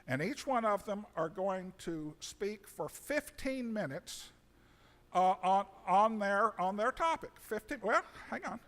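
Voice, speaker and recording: A man moderating a panel from a podium in a museum auditorium. He speaks slowly in a high voice, joking and halting, with plenty of uhs. Long reverb from the hall trails his words. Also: animated, a bit bright. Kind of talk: folksy conference introduction. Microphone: podium microphone.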